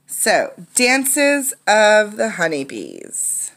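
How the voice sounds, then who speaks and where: A woman's voice: mid-range voice, huge pitch swings, extremely slow, a bit bright. A woman teacher reading a children's nonfiction book aloud, adding explanatory asides for young listeners.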